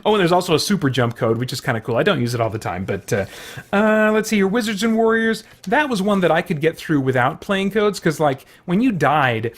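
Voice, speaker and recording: Higher-voiced man chatting casually, rambling along. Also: animated, a little fast. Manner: rambling, informal, thinking aloud. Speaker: video host. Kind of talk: casual unscripted chat about retro games.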